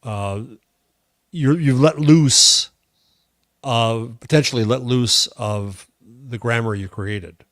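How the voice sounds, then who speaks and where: Male podcast commentator, mid-pitched, halting delivery with repeated words, casual opinions, close dry recording. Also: a bit bright, dry recording. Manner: halting, repeats words while searching for phrases.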